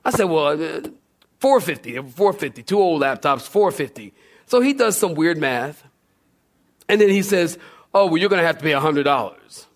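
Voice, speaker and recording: Higher-voiced pastor telling a story. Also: male voice, normal speed. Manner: animated, humorous, self-deprecating. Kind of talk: sermon storytelling with re-enacted dialogue. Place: church, recorded live.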